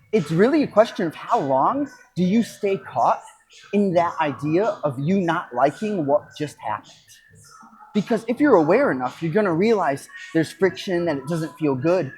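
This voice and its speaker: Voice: medium pitch, darkish tone, rough recording. Reflective, emphatic motivational coach. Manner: emphatic, reflective, with pauses and repeated phrases. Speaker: podcast host and coach. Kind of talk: casual motivational talk.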